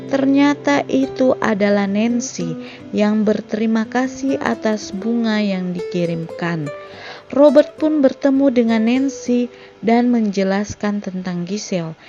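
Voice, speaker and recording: A woman's voice: on the high side, muffled recording. A woman reading a scripted narration that recaps a film's story. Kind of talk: scripted narration of a film plot. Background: background music.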